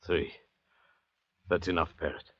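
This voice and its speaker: An actor playing a calculating, treacherous doctor adrift at sea, theatrical and controlled, in 1940s radio style. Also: male voice, deep voice, level tone, measured pace, fairly bright tone. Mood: wary, persuasive. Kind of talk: 1940s radio drama acting. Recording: muffled restored 1940s radio recording.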